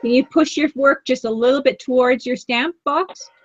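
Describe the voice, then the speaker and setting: A woman's voice: sits high, steady tone, moderate pace, no room sound, dull audio. A woman co-hosting a craft stream, chatting casually and teasing herself as she paints. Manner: chatty, giggly, self-teasing. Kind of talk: casual chat while painting.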